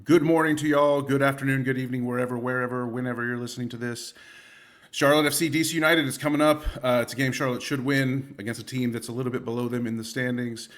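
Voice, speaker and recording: Male podcast host, relaxed and chatty. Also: mid-range voice, quick pace. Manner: casual, lots of uh fillers, jokey. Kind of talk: casual podcast hosting.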